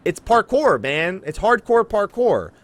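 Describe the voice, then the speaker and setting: A man hosting a casual gaming podcast, talking in an animated way and exaggerating for emphasis. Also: sits high, a little slow, no room sound. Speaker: podcast host. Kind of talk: casual game podcast chat.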